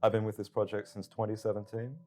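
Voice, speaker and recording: A man's voice: lowish voice, flat delivery, fast, mellow. A middle-aged man presenting a games showcase as an executive, in scripted promotional style, with music underneath.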